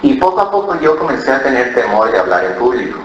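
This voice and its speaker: A man's voice: medium pitch, very animated, quick pace, roomy sound, lo-fi sound, noisy background. A man, a pastor with an accent from Cali, Colombia, preaching a sermon and telling a personal story, often asking "¿verdad?". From born in Ecuador, raised in Colombia. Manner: storytelling, mimics other voices, frequent "¿verdad?". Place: church.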